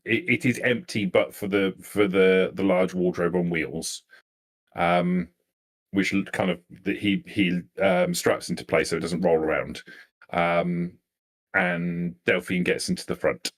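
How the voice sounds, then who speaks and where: A man's voice: sits low, fairly even intonation, measured pace. A man acting as game master, narrating a live tabletop vampire role-playing game in loose, improvised speech.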